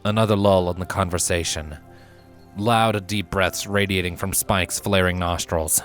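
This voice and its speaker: A man reading a fiction story aloud as its narrator, in an expressive scripted style. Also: low-pitched, a little slow, faint music behind.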